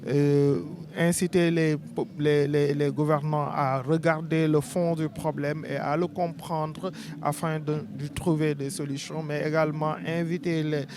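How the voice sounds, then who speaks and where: A man with a Senegalese French accent, interviewed at an outdoor event, speaking in an earnest, measured, rhetorical way. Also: a little high, little pitch movement. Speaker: artist interviewee. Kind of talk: street interview, spoken-word declamation. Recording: crowd noise behind.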